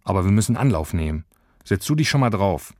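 A man's voice: deep voice, animated, brisk, a little mellow, dry recording, studio quality. A man voicing a bossy, daring young schoolgirl in a children's story, with a decisive, commanding manner. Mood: brisk.

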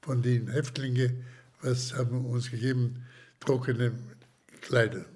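An older man from Slovakia, giving slow, hesitant testimony in an interview with many pauses and restarts. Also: mid-range voice, level tone, darkish tone, slightly rough. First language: German as childhood language, long unused.

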